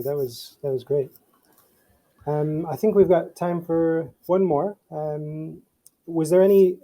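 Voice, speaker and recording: A man's voice: a little high, warm tone, poor audio. A man hosting a question session, passing along audience questions in an informal, appreciative way. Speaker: session host.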